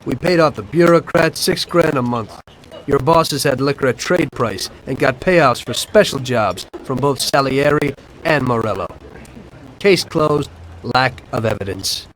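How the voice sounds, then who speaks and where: Cool unrepentant mafioso, mid pitch, even storytelling, faint street noise. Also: male voice, a little slow, rough recording. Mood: calm. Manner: calm, matter-of-fact storytelling. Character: male mafioso turned informant, calm and unrepentant. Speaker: narrator of his past. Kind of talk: video game cutscene acting.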